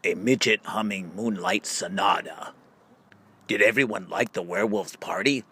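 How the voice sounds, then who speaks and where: A man's voice: low voice, pushed and bright, slight background noise. A man reading a scripted book of riddles, setting up each question and drawing out the punchline. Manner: question-and-answer delivery with drawn-out punchlines. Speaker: audiobook reader.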